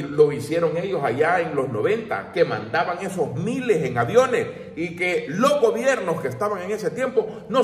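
A middle-aged Salvadoran man giving a brash, slangy, emphatic opinion rant in an informal style. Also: a little high. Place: small home room with shelves, indoors. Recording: roomy echo, distant mic.

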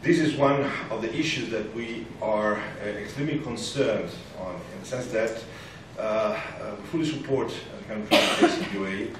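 A man, giving a political round-table address in a halting way, often repeating words as he searches for the next. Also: lowish voice. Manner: halting, hesitant delivery with frequent repeated words. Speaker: panel speaker. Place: large parliament meeting room, indoors. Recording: roomy, distant, noisy conference recording.

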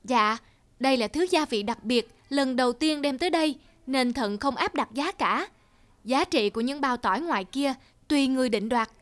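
Deferential fairy-tale trader played by a woman, courteous and formal, high register. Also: normal speed, close to an everyday voice. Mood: humble. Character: humble, polite middle-aged male spice merchant. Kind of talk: cartoon fairy-tale acting.

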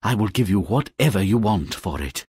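A man's voice: lowish voice, animated, normal speed, darkish tone, slightly rough, dry recording, studio quality. A man voicing an earnest, lovestruck prince in a fairy-tale game, ardent and declamatory. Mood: pleading.

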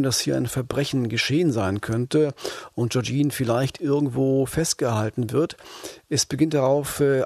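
A man's voice: medium pitch, darkish tone, dry recording, clean studio sound. A man co-hosting a true-crime podcast, telling a case in a serious, explanatory way. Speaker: podcast reporter and co-host.

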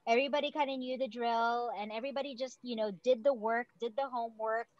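A woman theatre director talking casually on a video call from home, animated and full of fillers, laughing often. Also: fairly high pitch, fast, no room sound, lo-fi sound. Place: home room, indoors. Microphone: laptop webcam on video call.

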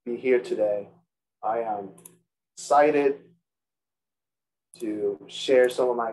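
A man who grew up in Peru gives an academic seminar over a video call. His delivery is halting, full of restarts and repeated words as he works through his points. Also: mid-range voice, darkish tone, low-quality recording. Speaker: seminar speaker, entomologist.

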